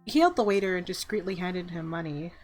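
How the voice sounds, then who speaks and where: A woman's voice: lowish voice, animated, quick pace, darkish tone, natural voice. A woman reading aloud as the narrator of a fantasy visual novel. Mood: plain, reflective. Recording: music playing behind voice.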